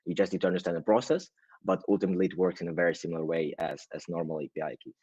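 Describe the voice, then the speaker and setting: Deep husky man from Russia. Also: fairly even intonation, racing, warm tone, no echo, dull audio. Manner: hedging, frequent "right?" tags and restarts. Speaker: guest, automation agency founder. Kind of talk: casual explanatory interview talk.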